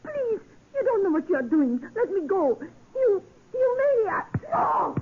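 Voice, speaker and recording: Casting: tense female spy, high and dramatically swooping voice, tinny vintage radio. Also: sing-song, a little slow, a crowd in the background, exaggerated voice. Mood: panicked, desperate. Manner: urgent, dramatic. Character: tense, scheming female secret agent. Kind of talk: old-time radio drama acting. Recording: tinny, narrow-band vintage radio recording.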